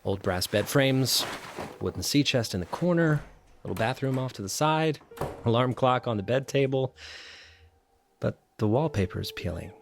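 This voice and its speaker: An actor playing a troubled, bookish, melancholy man in an audio drama, speaking and narrating in a halting, introspective way. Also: medium pitch, animated, creaky, a little hiss or hum. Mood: brooding, reflective. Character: troubled, bookish, melancholy man revisiting childhood holiday guesthouse.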